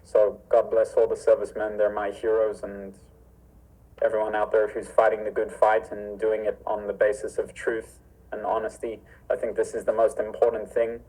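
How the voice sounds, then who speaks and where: A man's voice: on the low side, completely flat delivery, moderate pace, dark tone, low-quality recording. A man born in Zimbabwe, a stunt performer guest on a remote call, talking earnestly and solemnly with many restarts. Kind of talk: earnest interview talk.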